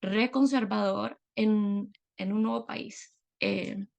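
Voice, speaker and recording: Gravelly-voiced woman sharing her story. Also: medium pitch, slow, darkish tone. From Latin America. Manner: reflective, earnest, many hesitation fillers. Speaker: guest speaker, psychologist. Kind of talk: personal testimony talk.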